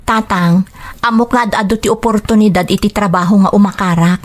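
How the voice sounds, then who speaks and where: A woman's voice: mid-range voice, steady tone, a little fast, close to an everyday voice. A woman playing a dutiful but determined young working daughter in a radio drama, earnest and pleading, with an Ilocano Filipino accent.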